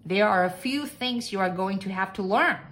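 A woman's voice: medium pitch, normal speed, fairly bright tone, natural voice. A woman voicing a pompous, bossy, eccentric lord in a storybook, speaking in an emphatic, commanding way. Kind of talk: storybook character acting.

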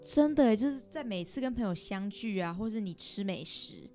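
A young woman chats casually as a podcast guest. She speaks in a medium-pitched voice with a Taiwanese Mandarin accent, with music playing behind her. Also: animated, a little fast. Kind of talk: casual podcast chat with friends.

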